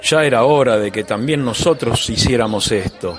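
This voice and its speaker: A male actor plays a proud apostle hungry for authority. He speaks at a middling pitch, in the acted style of a religious radio drama. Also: animated, moderate pace, lightly acted. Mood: boastful.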